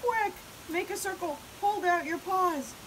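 A woman's voice: high voice, big pitch swings, normal speed, rough recording. A woman voicing a small, earnest, bossy prairie dog in a storybook, with urgent exclamations. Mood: commanding. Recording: hissy background noise.

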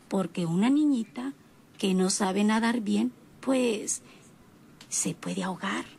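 Slow, creaky, scheming villainess. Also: female voice, a little high, darkish tone, slight background noise, exaggerated voice. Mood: menacing, scheming. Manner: slow, gloating, breathy asides. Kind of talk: radionovela acting, soliloquy.

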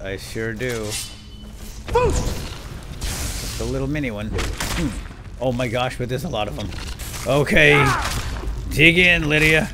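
A man's voice: medium pitch, very noisy. A man hosting a let's play with lightly role-played commentary, murmuring asides and breaking into exclamations. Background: game music.

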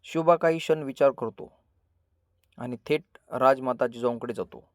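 A man narrating a scripted children's story as a storyteller, with measured, dramatic delivery in short phrases. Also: medium pitch, no room sound, clean studio sound.

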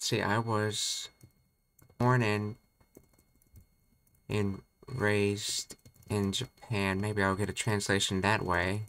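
A man casually thinking aloud as he studies a language on a livestream, halting and repeating words. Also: lowish voice, level tone, a bit bright. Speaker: streamer learning Japanese.

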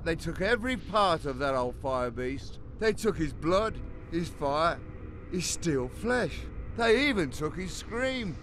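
Cryptic heavy-metal guardian for a game, high-voiced and rambling, faint noise behind. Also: male voice, sing-song, slow pace, a bit bright, full character voice, low-quality recording. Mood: cryptic, musing. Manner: rambling, theatrical, swearing freely. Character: cagey rambling old male guardian of metal secrets. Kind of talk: video game cutscene acting.

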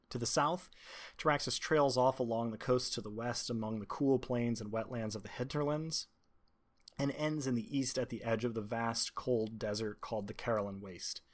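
A man speaking as game master and host, introducing and narrating a tabletop game in an articulate, measured, prepared way. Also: mid-range voice.

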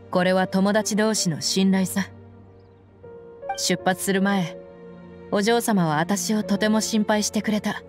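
An actress playing a loyal, frank young mercenary bodyguard in a game, speaking in a firm, plain-spoken, tomboyish way. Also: female voice, mid-range voice, darkish tone, natural voice. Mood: earnest. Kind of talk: Japanese game voice acting.

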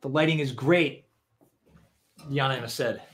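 A man's voice: medium pitch, slow, fairly bright tone. A young man hosting a music livestream, chatting casually and poking fun at himself while he tunes his guitar. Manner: easygoing, self-mocking. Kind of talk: casual chat between songs. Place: dim room with purple stage lighting. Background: acoustic guitar strumming and tuning.

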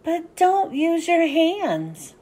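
Woman playing bossy frog dad, high and lively. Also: very slow, heavily acted. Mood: firm instructing. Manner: calling out, chanting instructions. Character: loud, bossy, enthusiastic father frog, assistant soccer coach.

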